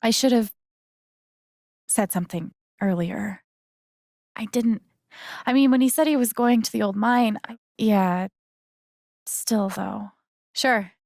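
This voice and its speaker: A young woman as a caring, slightly unsure video game heroine. Her voice sits fairly high and is recorded close and dry. She speaks in a casual, natural, conversational way with some hesitancy, sounding earnest throughout. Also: vocal fry, a little mellow, dry recording. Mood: worried, then thoughtful, focused. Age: young adult.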